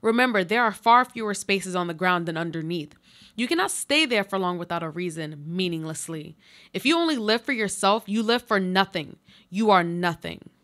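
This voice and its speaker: A woman acting in a live radio play as a trapped woman stubbornly clinging to life, speaking in solemn, deliberate monologue. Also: fairly low pitch, lively intonation, a bit bright. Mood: stern, insistent.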